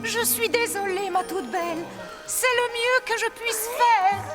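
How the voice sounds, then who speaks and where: Kind flustered cartoon fairy, slow gentle talk, really reverby. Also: female voice, extremely high pitch. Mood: tender. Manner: gentle, hesitant, drawn-out. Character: kindly, apologetic good fairy, sweet and flustered. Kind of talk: animated fairy tale dub. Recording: long hall reverb, music underneath. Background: music.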